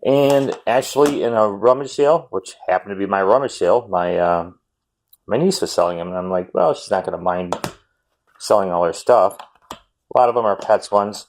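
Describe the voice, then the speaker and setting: A man, chatting casually and rambling about a game haul with lots of ums and self-corrections; he says his voice runs fairly high. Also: moderate pace, a little hiss or hum. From Wisconsin, US. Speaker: retro game collector vlogger.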